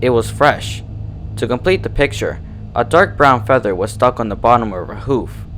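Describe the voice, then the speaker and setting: A man narrates a scripted horror tale as a storyteller. His delivery is animated, at a middling pitch, with faint noise behind him. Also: normal speed. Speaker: fan fiction narrator.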